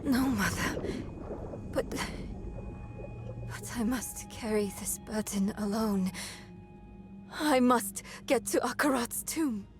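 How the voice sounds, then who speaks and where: A young woman playing a tormented, determined sorceress in a game, halting and deeply emotional. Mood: exhausted, troubled. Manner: halting, anguished, highly emotional. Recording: loud music under the voice.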